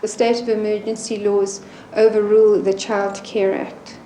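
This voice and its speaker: A woman gives earnest, articulate interview commentary in a mellow, mid-pitched voice. The recording is roomy, with faint ambient noise behind her. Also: little pitch movement, moderate pace. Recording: roomy, noisy location interview.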